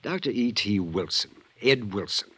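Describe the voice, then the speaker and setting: A man's voice: on the low side, animated, normal speed, a bit bright, breathy edge, no echo. An actor playing a troubled, wistful insurance man in a 1950s radio drama, earnest, hesitant and circling his point. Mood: earnest, grave. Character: rambling, troubled male insurance man, wistful old family friend. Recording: vintage 1950s radio recording.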